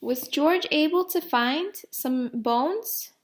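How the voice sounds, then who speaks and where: A woman reading a children's storybook aloud like a teacher, deliberately, putting questions to young listeners. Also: sits high.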